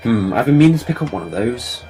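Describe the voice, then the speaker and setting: A clever, self-assured time-travelling adventurer, played by a man, speaks at a medium pitch. He explains things with confidence in audio drama style, with music clearly playing behind him. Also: sing-song, fast, dark tone, close to an everyday voice. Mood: brisk, puzzled. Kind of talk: fan audio drama acting.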